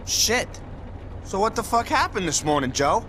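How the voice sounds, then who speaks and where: A man's voice: high voice, very animated, normal speed. A man playing a blunt, curious gangster friend who probes with profane questions in a game cutscene. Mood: incredulous. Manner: probing, profane. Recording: loud car and street noise.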